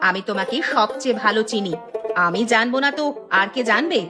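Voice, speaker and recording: A woman's voice: on the high side, fairly quick, music in the background, lightly acted. A woman playing a teasing, doubting village wife in a cartoon, with a coaxing way of talking. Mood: teasing, curious. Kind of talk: Bengali cartoon dialogue acting.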